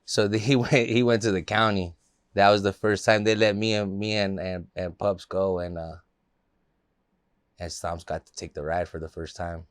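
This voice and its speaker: A man's voice: low-pitched, fairly even intonation, moderate pace, dry recording, clean studio sound. A man from the Los Angeles area, a guest in a casual interview, telling stories in a loose, laid-back way with plenty of fillers.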